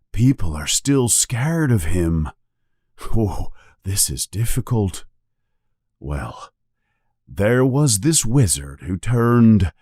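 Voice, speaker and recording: A man voicing a big gruff but kind-hearted giant in a storybook reading, growling low and slow. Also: darkish tone, dry recording, studio quality, heavily acted.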